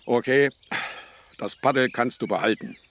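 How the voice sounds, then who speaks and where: An actor in a children's radio play playing a sly, worldly-wise older seafarer who teases and needles in sayings. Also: male voice, medium pitch, normal speed, a bit bright. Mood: haggling. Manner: teasing, needling, theatrical, speaks in sayings.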